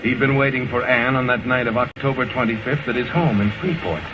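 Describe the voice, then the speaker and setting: A man acting as the grim, knowing narrator of an old radio suspense drama, addressing someone in a deliberate, dramatic way. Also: medium pitch, noisy background, natural voice. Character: male narrator grimly addressing a guilty woman. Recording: dull old radio recording, loud music behind.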